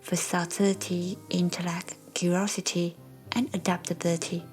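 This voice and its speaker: Soft, low-pitched woman guiding a meditation. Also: flat delivery, moderate pace. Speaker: meditation guide. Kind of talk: guided meditation narration. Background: soft background music.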